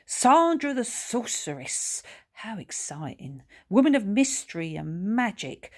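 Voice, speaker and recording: An excitable mother from a children's storybook, acted out by a woman reader. She gushes in colloquial, ungrammatical speech and fancies herself a grand sorceress. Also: mid-range voice, measured pace, voice pushed up. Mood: grandly excited.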